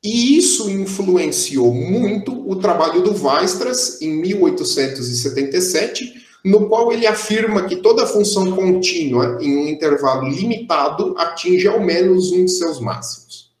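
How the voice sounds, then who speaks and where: A man's voice: high voice, a little slow, few pauses, roomy sound. Young man lecturing on a video call. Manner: explanatory, steady, with frequent "é" fillers. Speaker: math lecturer. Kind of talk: online math lecture. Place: indoor room, on a video call.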